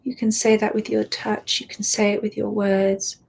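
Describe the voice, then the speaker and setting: A woman guiding a meditation, speaking softly and soothingly and often dropping into a whisper. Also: mid-range voice, little pitch movement, creaky, a little hiss or hum. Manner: slow, soft and soothing, drifting into whispers. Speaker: meditation guide. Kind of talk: guided meditation.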